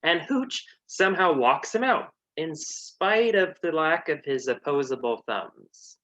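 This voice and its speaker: A man's voice: fairly high pitch, animated, a little slow, a bit bright, lo-fi sound. A man narrating a comedy story in a dry way, with hesitant asides.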